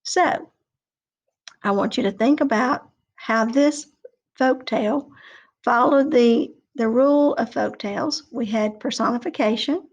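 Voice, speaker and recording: A woman speaks as a teacher to young children, explaining and storytelling in simple words. Her voice sits a little high and she goes very slowly and deliberately. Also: husky, lo-fi sound. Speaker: teacher telling a folk tale to children. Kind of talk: children's lesson and oral storytelling.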